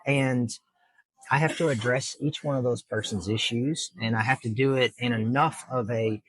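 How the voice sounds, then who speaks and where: A middle-aged man with a husky voice at an ordinary pitch. He speaks earnestly and plainly, explaining patiently with plenty of fillers as an interview guest. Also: steady tone, moderate pace, a bit bright, rough recording. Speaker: district judge, guest. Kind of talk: casual interview answers.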